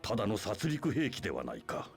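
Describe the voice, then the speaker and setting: An imperious, scheming leader of a church, deep and sweeping in pitch. He speaks in grandiose, archaic formal phrases, as in a dramatic video game scene, with music underneath. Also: wildly swooping pitch, rapid-fire, creaky, slightly rough, full character voice. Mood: grandiose, scornful. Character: imperious, scheming male church leader preaching his doctrine. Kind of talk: Japanese video game voice acting.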